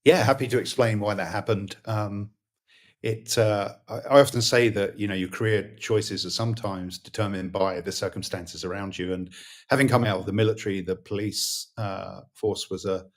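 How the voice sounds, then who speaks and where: Man, British accent, fifties, low-pitched voice, relaxed, halting with fillers. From Britain. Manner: relaxed, many fillers and pauses. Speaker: guest. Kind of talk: interview conversation.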